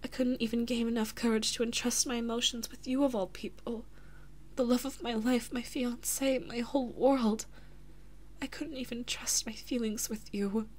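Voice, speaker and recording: Woman acting breathy, high-voiced, devoted anxious princess. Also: fairly even intonation, natural voice. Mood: ashamed, apologetic. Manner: soft, breathy, halting, emotional delivery with frequent whispering. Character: devoted, anxious big-sister princess and lover, tender and self-reproaching. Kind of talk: intimate audio roleplay, ASMR-like.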